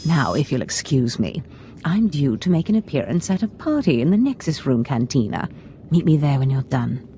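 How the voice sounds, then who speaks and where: Dark sorceress mentor in a game, low velvety voice, scheming n theatrical. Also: female voice, sing-song, moderate pace, a little hiss or hum, heavily acted. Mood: gleeful. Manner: silky, theatrical, conspiratorial. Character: scheming, ambitious female Sith lord mentor. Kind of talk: video game voice acting.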